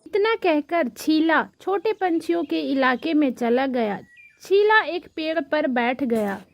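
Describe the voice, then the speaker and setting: High-pitched, slow woman storyteller. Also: lively intonation, vocal fry, no room sound. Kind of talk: scripted children's story narration.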